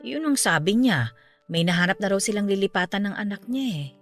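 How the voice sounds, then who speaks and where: An actress playing a middle-aged aunt in a radio drama, speaking calmly and matter-of-factly. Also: female voice, mid-range voice, brisk, natural voice. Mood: somber. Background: faint background music.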